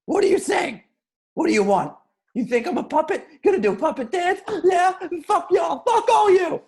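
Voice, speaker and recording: A woman's voice: very high-pitched, animated, moderate pace, darkish tone. A woman playing a rambling, paranoid young male stoner with slangy speech at an amateur table read. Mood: angry, defiant.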